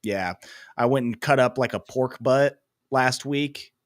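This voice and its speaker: A man's voice: medium pitch, a little slow, no room sound, clean studio sound. A young man with a head cold, rambling casually as co-host of a sports podcast, full of fillers and self-deprecating asides.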